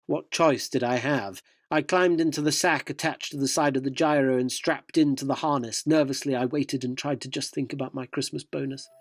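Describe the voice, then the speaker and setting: A man's voice: mid-range voice, fairly even intonation, normal speed, few pauses, no room sound, studio quality, natural voice. A man playing an earnest, inquisitive magazine reporter in a radio drama, narrating and questioning with a dryly descriptive manner. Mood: uneasy, awed.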